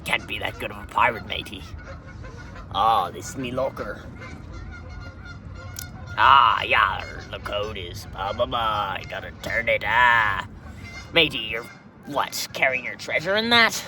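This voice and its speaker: A man's voice: fairly low pitch, unhurried, long pauses, sharp tone. A man voicing a crude, swaggering, unwashed pirate in a comic monologue, rambling offhand and calling everyone "matey" in pirate slang.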